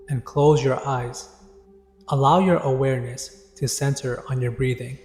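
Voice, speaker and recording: A man leading a guided meditation in a calm, deliberate, instructive way with pauses. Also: mid-range voice. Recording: echoey long reverb, music behind. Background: background music.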